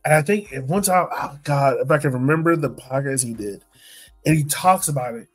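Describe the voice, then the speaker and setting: An American man with a slightly high voice talks sports as a podcast co-host. His delivery is casual and conversational, as if working out each thought while he speaks. Faint music plays underneath. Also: a little fast.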